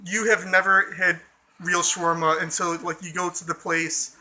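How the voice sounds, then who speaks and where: American male vlogger, high, sharp, bright tone, loose, sweary, filler-heavy delivery. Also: level tone, moderate pace, low-quality recording. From Chicago suburbs, USA. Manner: loose and profane, heavy on fillers like "you know" and "like". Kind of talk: casual rambling vlog talk.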